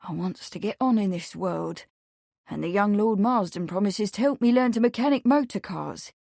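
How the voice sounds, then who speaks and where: A woman voicing a young male servant, earnest and awkward, speaking emphatically in rough dialect grammar. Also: medium pitch, moderate pace, dark tone, dry recording, clean studio sound.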